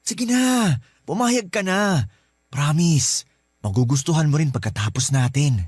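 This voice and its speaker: A man's voice: a little high, animated, measured pace, darkish tone, husky, no echo, clean studio sound. An actor playing a lovesick man in a radio drama, coaxing and pleading with his married lover. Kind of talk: Filipino radio drama acting.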